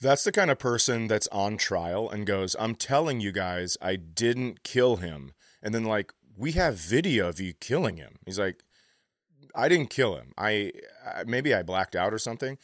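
A man with a low voice trades casual banter on a comedy podcast. He comes across as sardonic and blunt, teasing and swearing freely. He is recorded close and very dry. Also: expressive, moderate pace, fairly bright tone, no echo.

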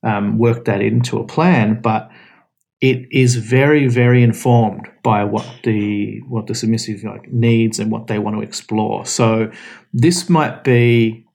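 A man's voice: lowish voice, measured pace, gravelly. A man, a podcast guest, giving casual, explanatory advice with frequent restarts. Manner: relaxed, explanatory, many restarts. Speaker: guest answering questions.

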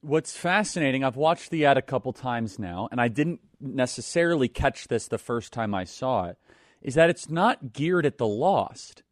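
A man hosting a talk show, giving forceful, indignant commentary and introducing his guest. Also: medium pitch, animated, normal speed, no echo, studio quality.

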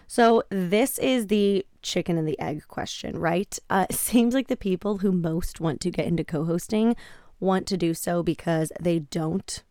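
A woman podcast host speaks in a casual, emphatic way, as if advising her listeners. Her voice sits a little low and sounds slightly croaky. The recording is dry, with very little room sound. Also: a little slow, warm tone, no echo. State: slightly raspy, croaky voice after a conference. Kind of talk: casual solo podcast talk, advice.

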